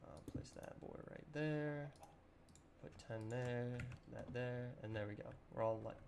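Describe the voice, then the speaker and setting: Slightly raspy young man gaming. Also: medium pitch, measured pace, a little hiss or hum. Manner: relaxed, rambling with many ums and throat clearing. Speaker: gaming channel host. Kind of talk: casual let's-play commentary.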